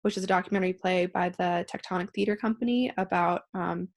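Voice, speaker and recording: A woman's voice: fairly low pitch, fairly even intonation, quick pace. A woman in her twenties with an American accent, answering interview questions conversationally as a playwright. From Pittsburgh, United States. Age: 20s.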